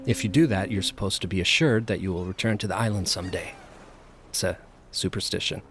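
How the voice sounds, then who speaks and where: An actor playing a fearful, solitary male professor who narrates an audio drama in a grave, hushed, deliberate way. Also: low-pitched, fairly even intonation, a little hiss or hum. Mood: haunted, afraid. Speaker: narrator and lead character.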